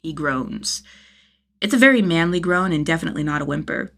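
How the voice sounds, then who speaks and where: A woman reading a story as narrator, in expressive scripted narration. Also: low voice, brisk. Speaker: podfic narrator.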